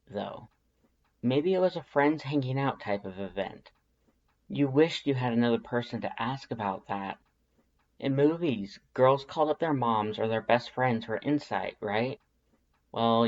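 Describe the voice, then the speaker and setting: Someone narrating a fanfic expressively, middle pitch, bit muffled lo-fi sound. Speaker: fan fiction narrator. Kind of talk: expressive scripted story narration.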